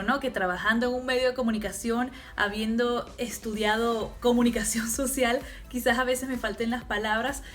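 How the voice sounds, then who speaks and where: A young woman vlogging casually to camera, talking in a relaxed, chatty way and laughing now and then. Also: fairly high pitch. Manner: chatty, laughs often, mixes in English. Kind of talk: casual vlog talk to camera.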